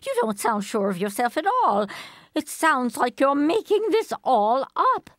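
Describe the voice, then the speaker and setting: A woman's voice: high-pitched, very animated, measured pace, no echo, clean studio sound, exaggerated voice. An actress playing a lonely older woman in an audio play, dreamy, proud and easily wounded. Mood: wounded, doubting.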